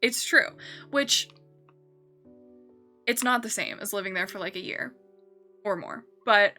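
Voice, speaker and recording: A woman's voice: mid-range voice, unhurried, bright, forceful tone, soft background music. A young woman streamer chatting casually while building in a game, rambling and correcting herself with lots of fillers.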